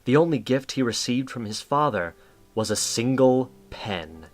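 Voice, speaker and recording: A man's voice: medium pitch, lively intonation, measured pace. A man reading scripted story narration as the narrator of an audio drama.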